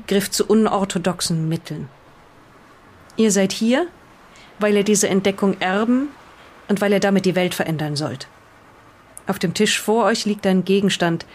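A woman voicing a proud professor's grave letter to heirs, read solemnly and deliberately in an audio drama. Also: medium pitch, natural voice.

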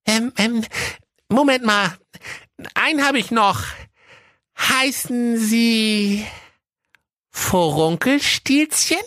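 A woman's voice: fairly high pitch, animated, very slow, pauses often, a bit bright, dry recording, studio quality, heavily acted. A woman playing a flustered, whiny, dramatic young woman in a comic fairy tale audio play. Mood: hesitant. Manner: stammering, exasperated.

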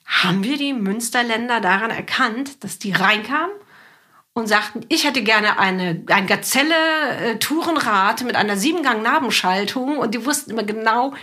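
A woman's voice: on the high side, moderate pace, pushed and bright. A woman hosting a podcast, chatting casually and playfully with anecdotes and teasing questions. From island of Juist, Germany. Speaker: podcast host. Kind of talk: casual conversational interview.

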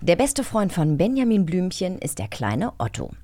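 A woman's voice: fairly low pitch, very animated, moderate pace, mellow, no echo. A woman co-hosting a podcast, asking questions in a casual, chatty style. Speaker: podcast co-host. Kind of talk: casual chat, quiz-show questioning.

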